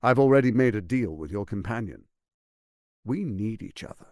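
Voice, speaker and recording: An actor voicing a scheming, smug tyrant lord in a video game, speaking in a pompous, silky, menacing and theatrically emphatic way with a gravelly edge. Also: male voice, lowish voice, expressive, moderate pace, darkish tone, dry recording, studio quality. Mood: calculating. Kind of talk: dramatic video game voice acting.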